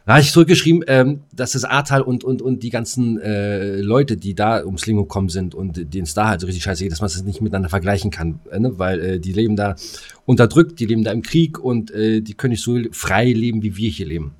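A man co-hosts a casual podcast. He rants in crude, sweary slang. His delivery is agitated and halting, full of repeated starts. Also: on the low side, darkish tone. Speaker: podcast co-host. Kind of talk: casual ranting conversation.

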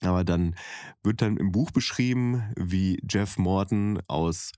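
Ein Mann, der locker und zögernd mit vielen Füllwörtern über ein Buch und ein Hörspiel plaudert. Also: male voice, sits low, unhurried, warm tone, dry recording, clean studio sound. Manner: hesitant, many fillers and restarts. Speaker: podcast co-host. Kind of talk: casual chat about a book and audio drama.

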